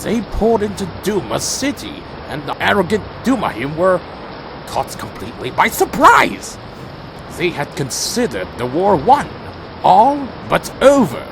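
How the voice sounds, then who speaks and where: A man's voice: high-pitched, very animated, measured pace, background noise, heavily acted. A man playing a proud, brooding vampire warrior in a fan audio drama, speaking and narrating in a solemn, formal, dramatic way. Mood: dramatic, grim. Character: proud male vampire warrior, loyal to his clan, brooding. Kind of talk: audio drama dialogue and first-person narration.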